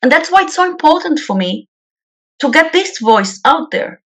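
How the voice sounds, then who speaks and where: A woman's voice: high voice, very animated, normal speed, a bit bright. A woman from Israel with an Israeli accent, a peace activist guest speaking bluntly and emphatically in an interview. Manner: blunt and emphatic, repeats words. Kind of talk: interview talk.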